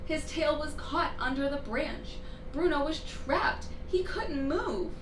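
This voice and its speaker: A woman reading a children's storybook aloud, narrating expressively with deliberate, dramatic emphasis. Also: high voice. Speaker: storybook reader. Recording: roomy, distant mic, clear background noise.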